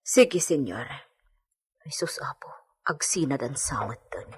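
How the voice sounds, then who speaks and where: A woman's voice: rumbling low, lively intonation, measured pace, breathy edge, exaggerated voice. A woman with an Ilocano accent playing a deferential, fretful household maid in a radio drama, given to muttering.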